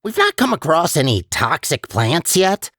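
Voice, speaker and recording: A man's voice: on the high side, big pitch swings, normal speed, sharp tone, no echo, studio quality, heavily acted. A man playing a chatty, sardonic and untrustworthy AI guide in a video game, with wry, hesitant conversational asides. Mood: wry, uneasy. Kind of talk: video game character voice lines.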